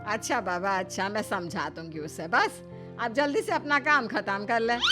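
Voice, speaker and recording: A woman's voice: mid-range voice, normal speed, music in the background, close to an everyday voice. A woman playing an older mother-in-law in a family story, caring but firm and admonishing. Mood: reassuring. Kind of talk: dramatised Hindi family story.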